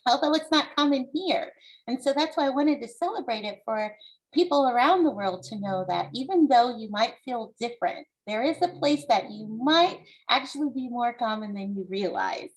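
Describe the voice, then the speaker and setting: High-voiced woman reading aloud to children. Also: rough recording. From United States. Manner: deliberate, instructive, encouraging. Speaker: children's book author presenting her book. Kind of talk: read-aloud with explanation to young audience.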